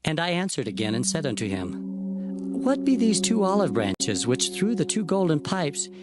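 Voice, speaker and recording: A man's voice: fairly high pitch, wildly swooping pitch, normal speed, very noisy. A man playing an earnest, awed prophet who recounts his visions reverently and expressively as narrator of dramatized scripture. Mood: awed, wondering. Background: faint music.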